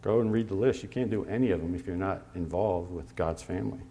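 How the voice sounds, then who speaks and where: Male pastor, lower voice, conversational sermon delivery with fillers, lands each scripture reference slowly. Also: warm tone. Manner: slows to stress scripture references.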